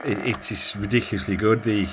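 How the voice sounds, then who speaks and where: A man's voice: fairly low pitch, steady tone, a little fast. A man giving a casual hands-on demonstration of gear, rambling a little and thinking aloud as he works. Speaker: radio hobbyist presenter. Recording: clear background noise.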